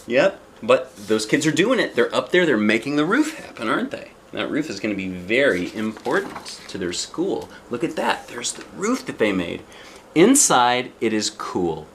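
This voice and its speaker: A man's voice: mid-range voice, very animated, moderate pace, fairly bright tone, a little hiss or hum. A man reading a children's picture book aloud expressively, with chatty asides and questions to the young listener. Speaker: storybook reader.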